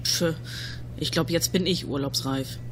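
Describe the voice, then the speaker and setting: Slow, weary, complaining agent. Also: female voice, low-pitched, very noisy. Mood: skeptical. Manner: groaning, complaining, drawn-out. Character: weary, skeptical female agent with sore muscles. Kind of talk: comic audio drama dialogue.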